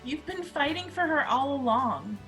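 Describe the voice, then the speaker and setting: A woman's voice: high voice, animated, moderate pace, sharp tone, soft background music, full character voice, rough recording. A woman playing a spunky, loyal young woman in a film table read, with a supportive manner. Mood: encouraging.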